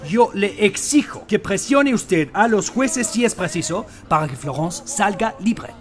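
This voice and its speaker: An actor playing a proud, insistent middle-aged French president in an audio drama, speaking formally. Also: male voice, high voice, expressive, a little slow, over music. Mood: indignant demand. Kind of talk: scripted audio drama dialogue.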